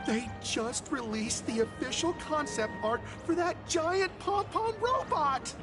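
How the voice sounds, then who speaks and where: A woman voicing an excitable, scolding young comic-fan girl in a video game, with music behind. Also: high voice, unhurried. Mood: excited.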